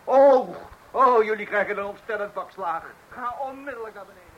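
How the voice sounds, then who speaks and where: A man's voice: high-pitched, a little fast, fairly bright tone, lo-fi sound, slight background noise. An actor playing a fussy father who hesitates and blusters while scolding his kids. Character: fussy middle-aged father.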